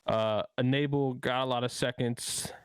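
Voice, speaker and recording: A man's voice: medium pitch, fairly even intonation, normal speed, clean tone, no room sound. A young man on an esports panel, answering in a laid-back way with lots of fillers. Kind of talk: casual esports chat.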